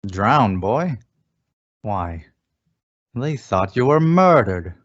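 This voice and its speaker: Lowish, coaxing ex-police chief, played by a man. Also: very animated, drawn-out words, heavy background noise, heavily acted. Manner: insinuating, persuasive, folksy. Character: folksy, coaxing male former small-town police chief. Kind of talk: old-time radio suspense acting. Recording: dull, lo-fi audio.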